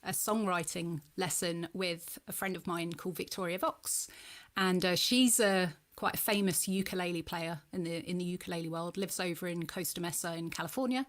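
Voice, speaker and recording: A woman singer-songwriter chatting softly and singing her ukulele song on a video call. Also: lowish voice. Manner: soft-spoken, quick mumbled asides. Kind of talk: casual chat and ukulele song performance. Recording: roomy echo, far from mic, loud music.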